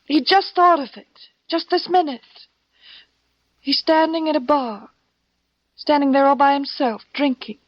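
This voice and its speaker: High-voiced scheming radio-drama wife. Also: female voice, a little slow, pauses often. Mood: frightened, pleading. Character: scheming, two-faced wife in a crime melodrama. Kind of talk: old-time radio drama acting. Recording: dull, noisy vintage radio recording.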